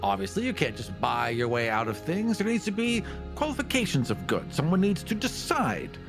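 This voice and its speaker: A man's voice: on the high side, wildly swooping pitch, moderate pace. A man playing a smug, self-righteous owl-headed being in a tabletop roleplay, gloating and insisting that he should judge mortals. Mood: indignant, self-righteous. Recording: voice over clear background music.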